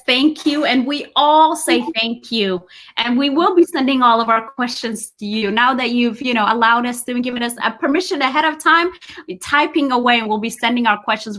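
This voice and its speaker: A fairly high-voiced woman host with a closes the conversation with warm, gracious thanks. She affirms her guest often. Now and then she drops words into her speech. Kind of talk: podcast interview wrap-up.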